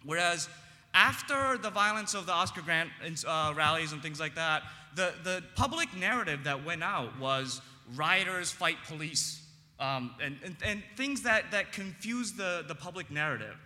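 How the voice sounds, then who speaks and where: A man speaking earnestly on a panel in a public debate, with a big voice and frequent ums, slowing down to stress key phrases. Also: a little high, expressive, bright, forceful tone, big-room sound. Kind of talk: impassioned public debate speech.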